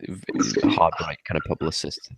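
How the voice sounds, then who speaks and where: A man's voice: a bass voice, animated, unhurried, gravelly, breathy edge, dry recording. A man talking as a panelist in a casual political discussion on an online group call.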